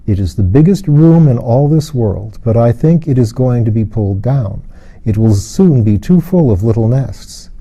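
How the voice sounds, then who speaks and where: A wise, kindly lady of a fairy tale, read by a man, serene and solemn. The tone is very soft and dark, and the phrasing is calm and poetic. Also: medium pitch, moderate pace. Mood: calm, reassuring.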